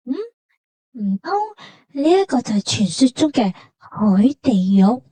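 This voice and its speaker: A woman's voice: medium pitch, animated, measured pace, mellow, husky, no room sound. A woman with a Hong Kong Cantonese accent hosting a travel vlog in a conversational, explanatory way, as scripted dialogue and narration.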